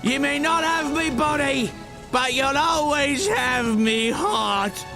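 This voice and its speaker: An actor playing an eccentric, sentimental pirate in a video game cutscene, with melodramatic old-time pirate talk. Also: male voice, very high-pitched, slow pace, vocal fry, over music, heavily acted. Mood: mournful, doting. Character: high-voiced lonely eccentric pirate with a sentimental streak.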